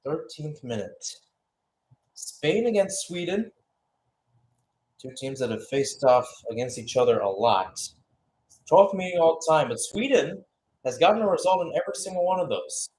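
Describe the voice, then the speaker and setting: A man doing live radio-style play-by-play football commentary, rising with excitement on chances and settling calmer between plays. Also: fairly high pitch, moderate pace, pauses often, a little hiss or hum, low-quality recording.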